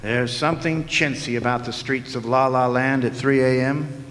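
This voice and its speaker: An actor playing a wisecracking hard-boiled detective in a noir radio drama, deadpan and full of slang. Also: male voice, medium pitch, normal speed, natural voice. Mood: wry narration. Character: wisecracking hard-boiled male private detective narrating his case. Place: large hall, live stage performance. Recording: echoey hall, live stage performance.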